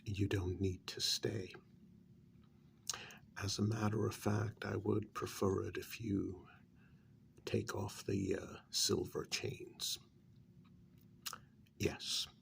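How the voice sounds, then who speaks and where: A man playing a calm, courteous, frank human mediator in a soft-spoken ASMR vampire role-play, with a breathy, whispery voice and a hesitant, measured delivery. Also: on the low side, a little hiss or hum, heavily acted. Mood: calm, firm. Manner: slow, hesitant, polite, measured.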